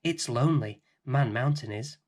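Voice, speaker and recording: A man's voice: mid-range voice, moderate pace, darkish tone, natural voice. A man voicing wary, blunt village children in a children's storybook.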